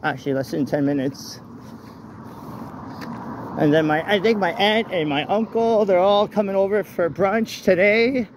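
Man, high-pitched voice, casual rambling vlog, repetitive phrasing, handheld phone outdoors, faint traffic noise. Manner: informal, halting, repeats phrases. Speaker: vlogger. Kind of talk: casual rambling walk-and-talk chat. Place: outdoors walking along a street at night. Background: vehicles and street ambience.

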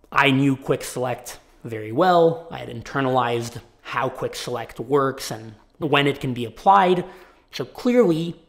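A young man talking to camera in a casual vlog, sick and raspy, animated, from a small home office. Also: mid-range voice. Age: young adult. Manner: animated, gestures a lot with his hands. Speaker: tech YouTuber.

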